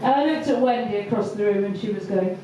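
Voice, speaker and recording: A woman doing stand-up, telling a story slowly with many ums and pauses. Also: medium pitch, over a noisy crowd. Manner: hesitant, lots of ums, slow deliberate delivery. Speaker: stand-up comedian. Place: comedy venue with audience laughter. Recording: echoey far-off live room recording.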